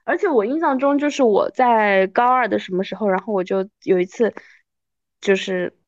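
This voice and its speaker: A woman in her thirties hosting a podcast, chatting casually and reminiscing in an animated, self-deprecating way. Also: medium pitch, moderate pace, no echo, dull audio. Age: 30s. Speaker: podcast host.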